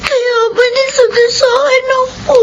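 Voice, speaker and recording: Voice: very high-pitched, flat delivery, takes it slowly, lo-fi sound, noisy background, full character voice. A performer playing a young girl, an obedient and contrite daughter, in a Filipino radio drama. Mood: tearful, contrite.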